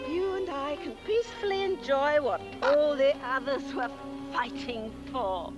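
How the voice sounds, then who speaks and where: A high-voiced, murderous woman in a campy horror-comedy film, cooing with sweet mockery. She draws her words out slowly and theatrically, swinging from hushed to shrill, with faint music on the soundtrack. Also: highs cut off. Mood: smug, dreamy. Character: gleeful, scheming, murderous woman, sweetly mocking and greedy.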